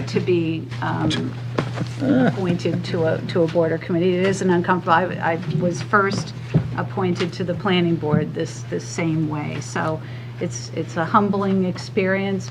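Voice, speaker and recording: A woman's voice: fairly low pitch, fairly even intonation, vocal fry, loud music behind the voice, rough recording. A woman chairing a town selectboard meeting, speaking formally with frequent fillers and restarts. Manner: halting, many fillers and restarts. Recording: loud background noise in a public meeting room.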